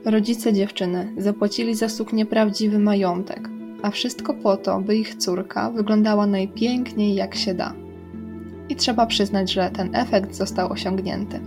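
Music plays behind a young woman with a mid-pitched voice. She hosts a radio crime programme and narrates her story from a script. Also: steady tone, normal speed. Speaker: radio true-crime show host.

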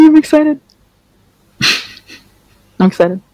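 Woman hosting a podcast, high voice that swoops around, excitable, sweary, casual chat. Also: extremely slow, no echo, muffled recording. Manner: excitable, exclamatory, swears freely. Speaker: podcast host.

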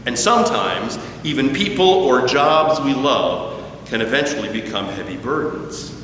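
A man preaching a sermon at a slow, deliberate pace, his voice rising and falling with emphasis. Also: mid-range voice, a little hiss or hum. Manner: slow, deliberate preaching with lively rises and falls. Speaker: pastor. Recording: echoey church hall, distant mic, dull sound.

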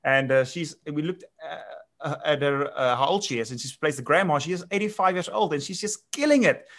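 Chatty South African winemaker, rambling. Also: male voice, a little high, brisk, a bit bright. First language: Afrikaans. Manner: chatty, rambling, with many restarts and fillers. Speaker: winemaker guest. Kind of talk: casual interview chat.

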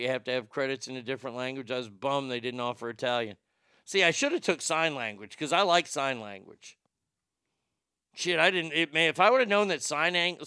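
Middle-aged male host, stutters, blunt and profane, bright, clean, dry voice. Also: mid-range voice, clean tone, no echo. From Texas. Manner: rambling, blunt, profane, laughs at his own jokes. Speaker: livestream show host. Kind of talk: casual chat, reading viewer comments.

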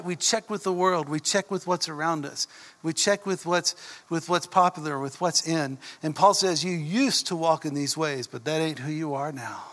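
A man from Texas, preaching a sermon in a folksy, animated way and dropping to a whisper for emphasis. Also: high voice, in a large hall. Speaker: pastor. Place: church.

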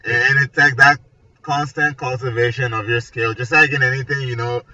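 A man's voice: medium pitch, fairly even intonation, normal speed, bright, forceful tone. A man in his twenties chatting casually, often filling his sentences with "like" and "you know". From Nigeria. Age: 20s. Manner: conversational, peppered with "like" and "you know". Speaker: architecture graduate discussing his background and creative work. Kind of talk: casual conversation. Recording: distant mic, muffled, noisy ambience.

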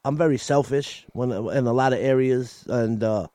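New York rapper guest, mid-pitched, candid and halting, dry studio sound. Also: male voice, mellow, dry recording. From Coney Island, New York. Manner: candid, repeats words and restarts often. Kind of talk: casual interview talk.

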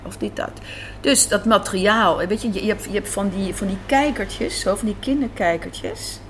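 A woman's voice: medium pitch, moderate pace. A woman being interviewed as a writer, talking informally, thinking aloud and repeating phrases for emphasis. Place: café. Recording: faint background room noise. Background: faint café ambience.

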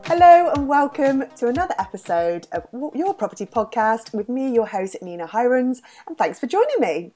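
A woman's voice: on the high side, warm tone. A woman hosting a podcast interview, chatty and rambling a little with fillers as she talks. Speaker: podcast host. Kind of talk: casual interview chat.

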